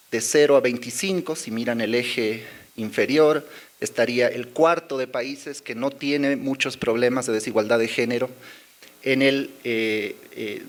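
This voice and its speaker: A man with a Bolivian accent presenting research charts at a conference, with many pauses, fillers and little tag questions. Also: mid-range voice. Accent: Bolivian Spanish. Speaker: conference speaker. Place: conference hall.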